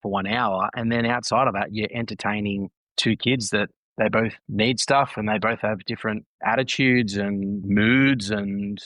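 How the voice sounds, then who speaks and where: A man's voice: lowish voice, fairly even intonation, a little slow, hardly any pauses, a bit bright, no room sound, rough recording. A man in his thirties, a guest on a casual podcast, talking earnestly and reflectively and often repeating phrases. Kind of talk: casual conversation.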